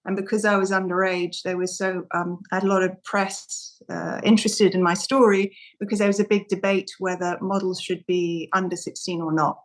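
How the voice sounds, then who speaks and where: A woman in her fifties, raised in Singapore and Indonesia, talking gently and reflectively as a podcast guest, often restarting her sentences. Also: on the low side, little pitch movement, normal speed, few pauses. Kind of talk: casual interview talk.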